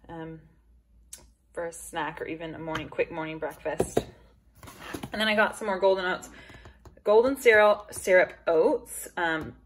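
A woman's voice: on the low side, a bit bright, a little hiss or hum. A woman in her thirties chatting casually through a grocery haul while handling items in her kitchen. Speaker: vlogger. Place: home kitchen.

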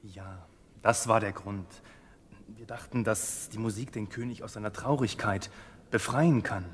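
An actor playing a cautious, worried royal servant in a Bible audio drama, earnest and confiding. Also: male voice, fairly low pitch, a little slow, slight background noise, lightly acted. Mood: urgent.

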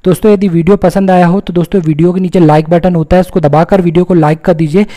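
Man, Indian accent, slightly high pitch, relaxed mellow tone, tutorial host, simple repeated explanations. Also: fast talker. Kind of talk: step-by-step phone tutorial narration.

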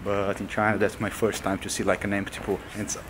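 A man's voice: fairly low pitch, brisk, background noise. A young man narrating a casual travel vlog to a camera held at arm's length, in loose, offhand phrasing. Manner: loose non-native phrasing, relaxed commentary. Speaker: vlogger. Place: outdoors and in an empty concrete building.

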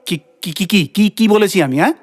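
Exasperated, sputtering husband. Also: male voice, sits high, animated, normal speed. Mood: indignant. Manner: sputtering, repeats words in indignant questions. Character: exasperated, incredulous husband, grumbling but soft-hearted. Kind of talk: comic audio drama acting.

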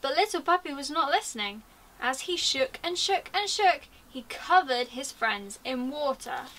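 Young british lady reading a kids storybook, high bright voice, expressive teacher style. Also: a little slow, recorded from a distance, low-quality recording. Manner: expressive, addressed to young children. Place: small attic bedroom, indoors.

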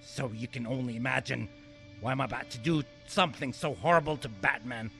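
A man's voice: medium pitch, brisk, vocal fry, bright, forceful tone, soft background music. A man playing a gleeful, vengeful maniac supervillain in an amateur radio play, ranting theatrically and menacingly. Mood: bitter, brooding.

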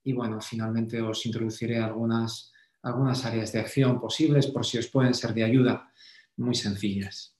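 A man delivers an explanatory conference talk. His voice sits at a medium pitch, and he phrases things in a measured, didactic way with pauses. The sound is noticeably roomy and echoey. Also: flat delivery, a little mellow. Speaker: Jesuit speaker giving a conference talk. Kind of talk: slide presentation lecture, explanatory.

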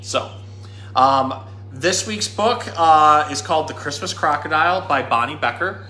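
Male zookeeper presenter, thirties, informal and explanatory, bright forward voice, roomy sound. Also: fairly high pitch, a little slow. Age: 30s. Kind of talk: casual educational talk and storybook reading. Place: small indoor room at a pet store. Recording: echoey room.

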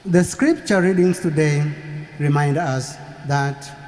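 Slow-preaching priest in an echoey cathedral. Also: male voice, on the high side, darkish tone. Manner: slow, measured preaching with long pauses. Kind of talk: funeral homily. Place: large cathedral. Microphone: lectern microphone.

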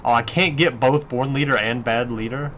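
A male let's player thinks out loud in a casual, sweary way. His voice is mid-pitched, and faint game noise sits behind it. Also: steady tone, normal speed. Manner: crude swearing, muses aloud. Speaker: let's play commentator. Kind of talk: casual gameplay commentary.

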